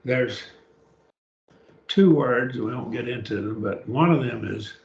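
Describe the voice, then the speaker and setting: An older man with a raspy voice teaching a Bible study class, explaining words one by one and often trailing off softly. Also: mid-range voice, a little slow, recorded from a distance. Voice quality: raspy after throat surgery. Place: church classroom. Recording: echoey room, dull lo-fi sound.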